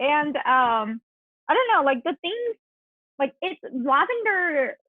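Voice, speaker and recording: A woman's voice: sits high, big pitch swings, takes it slowly, no room sound. A woman in her twenties from South Korea with a Korean accent, chatting casually as a podcast guest. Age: 20s. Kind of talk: casual chat. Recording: tinny, phone-like line, dry.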